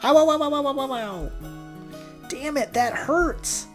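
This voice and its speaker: A man's voice: extremely high pitch, a little slow, voice pushed up. A man voicing a brash, foul-mouthed teenage son with exaggerated yelps, reading a visual novel over music. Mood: pained whining.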